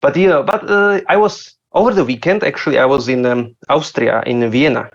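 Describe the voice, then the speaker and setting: Open-mic comic from Slovakia, a man with a gravelly voice, halting delivery, muffled lo-fi sound. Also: mid-range voice. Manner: hesitant, many fillers and restarts. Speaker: stand-up comic.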